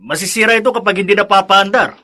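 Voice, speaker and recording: A man's voice: high voice, fast, sharp tone. A man with a Filipino accent playing a practical, helpful family friend in a radio drama. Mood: matter-of-fact.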